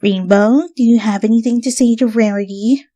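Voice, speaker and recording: A woman's voice: a little high, fairly even intonation, normal speed, mellow, no echo, voice pushed up, close to an everyday voice. A woman voicing an earnest, bossy young female pony scholar in a story reading, articulate and scolding. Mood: stern.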